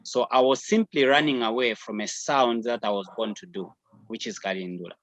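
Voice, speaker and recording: A man in his thirties from Zambia, a musician talking reflectively in a remote interview, filling pauses with "you know". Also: mid-range voice, a bit bright, no echo. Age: 30s. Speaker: musician guest. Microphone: video or phone call.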